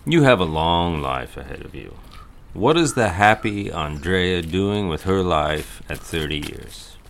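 A gentle father in middle age, played by a male actor, with a very low voice that moves in lively swings. He is concerned and halting as he talks, in an audio drama with faint noise behind him. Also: creaky, heavily acted. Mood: concerned, coaxing. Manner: hesitant, halting, thoughtful.

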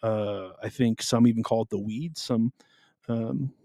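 A man with a deep, carrying voice co-hosting a casual podcast about the Bible, explaining at an easy pace with plenty of ums. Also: steady tone, a little slow, warm tone, no room sound, clean studio sound. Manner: easygoing, explains with many ums. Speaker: podcast co-host.

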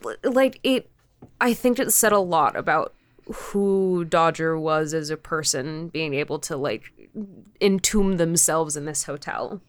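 Young female interviewee, clear voice, casual and animated, halting informal phrasing, close dry recording. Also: low voice, dry recording. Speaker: podcast creator, interview guest.

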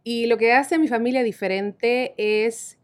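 A woman from Argentina, a worship leader speaking warmly and enthusiastically in a podcast conversation. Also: fairly high pitch, unhurried.

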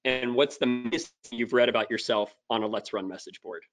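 A man's voice: mid-range voice, fairly quick, a little hiss or hum. A man co-hosting a running podcast, easygoing and upbeat, steering the questions. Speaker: podcast co-host. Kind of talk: casual podcast chat.

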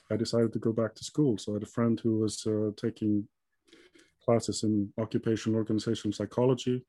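A man from Iceland in his thirties talks through his story in an even, level tone. He often stops with ums and starts over. His voice sounds soft and mellow, and the sound is dry and close. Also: lowish voice, no room sound. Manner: hesitant, many ums and restarts. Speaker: guest. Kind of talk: conversational interview answers.

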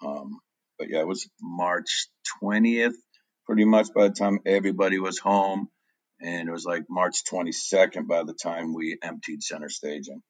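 A man's voice: sits low, fairly even intonation, normal speed, no room sound. A man in his forties from New Hampshire, telling his story in a loose, rambling way with lots of ums. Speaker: guest. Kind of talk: casual interview chat.

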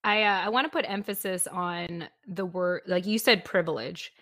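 A woman's voice: lowish voice, moderate pace, a bit bright, no room sound, studio quality. A woman hosting a podcast, talking reflectively and rambling a little with fillers. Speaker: podcast host.

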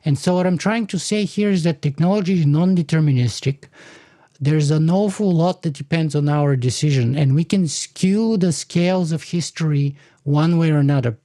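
A man's voice: a little high, normal speed, warm tone, dry recording. A man, making an argument in a conversational podcast discussion in a lecturing way, often restarting his sentences. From Bulgaria. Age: 40s.

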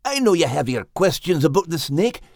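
A man voicing a stubborn male dog who speaks in a rough brogue and calls his friend "lass". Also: on the high side, big pitch swings, moderate pace, a little mellow, no echo, studio quality, pitched above the performer's own voice. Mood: defensive. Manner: blunt, dialect words like "lass" and "me life".

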